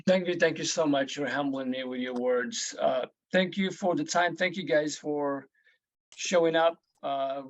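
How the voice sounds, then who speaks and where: A man in his thirties with a Moroccan accent, giving an informal talk in a halting way that repeats words. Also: a little high. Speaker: guest speaker, plant geneticist. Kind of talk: informal talk at an online meeting. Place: online video meeting.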